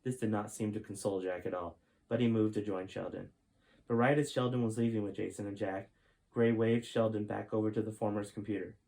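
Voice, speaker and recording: A man's voice: on the low side, steady tone, brisk, creaky, a little mellow. A man reading his own adventure book aloud as a storyteller, in scripted narration.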